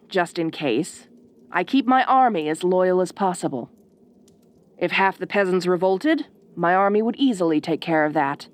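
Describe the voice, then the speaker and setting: A woman playing a bossy, haughty ice queen in an audio roleplay, speaking in imperious, formal, condescending phrases. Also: on the low side. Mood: cold, instructive. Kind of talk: audio roleplay acting addressed to the listener.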